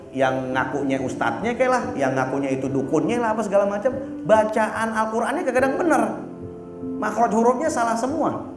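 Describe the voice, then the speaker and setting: Man, preacher, blunt and teasing, high voice, music behind. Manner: casual and blunt, teasing, fillers like "apa namanya". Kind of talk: religious sermon. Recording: echoey room with music behind.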